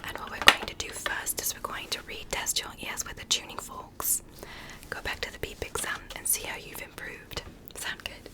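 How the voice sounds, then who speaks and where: A woman whispering softly and breathily in a gentle, reassuring ASMR roleplay as a doctor giving an exam. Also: moderate pace. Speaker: ASMR performer playing an ear doctor.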